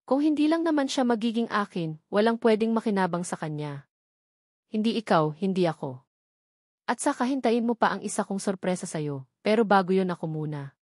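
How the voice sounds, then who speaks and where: A vengeful kidnapper woman from an audio novel, read by a woman narrator, taunts and threatens with jealous cruelty. The voice is mid-pitched and recorded dry. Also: expressive, moderate pace, a little mellow, no echo, lightly acted. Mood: menacing. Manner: taunting, menacing.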